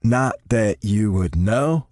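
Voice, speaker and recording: Man doing a blunt young man, teasing, slightly raised pitch, audiobook. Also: animated, normal speed, warm tone, no echo, clean studio sound. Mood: dry. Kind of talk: audiobook character voice.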